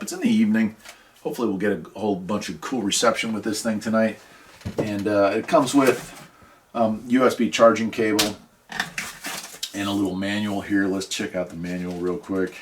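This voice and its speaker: An American man hosting a casual product review, talking conversationally and enthusiastically and repeating phrases for emphasis. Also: lowish voice. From United States. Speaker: radio reviewer and video host. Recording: clear background noise, clicks of handling.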